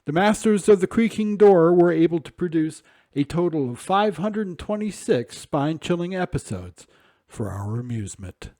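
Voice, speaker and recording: A man's voice: on the high side, expressive, normal speed, warm tone, no echo. A man reading scripted narration as an announcer introducing a programme. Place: dry, close studio-like recording.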